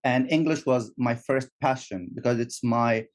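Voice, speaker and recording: Male guest speaking second-language English, halting, repeats words. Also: mid-range voice. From Egypt. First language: Arabic. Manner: repeats words, restarts often. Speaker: guest, teacher trainer. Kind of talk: casual interview.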